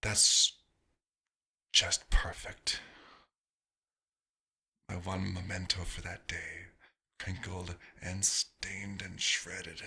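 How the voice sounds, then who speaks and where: A man voicing a centuries-old vampire doctor, wistful and dryly wry, in a soft-spoken ASMR roleplay with a husky, breathy voice and halting, musing pauses. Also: deep voice, full character voice. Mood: dryly disappointed.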